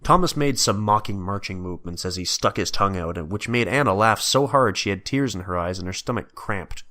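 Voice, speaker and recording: Low-pitched male storybook narrator. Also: expressive, brisk, bright, forceful tone.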